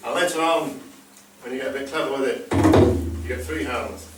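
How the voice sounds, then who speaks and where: A man's voice: medium pitch, a little fast. A man, a drummer, chatting easily between pieces on a live stage, with hesitant ums and dry humour. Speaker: bodhrán drummer performing. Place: live stage in a large hall. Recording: echoey hall, far mic, background noise.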